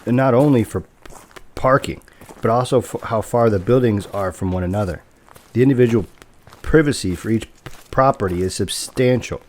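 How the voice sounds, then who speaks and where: A man's voice: fairly low pitch, moderate pace, close to an everyday voice. A man playing a formal, coolly courteous property broker who stutters, in an audio drama. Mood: businesslike.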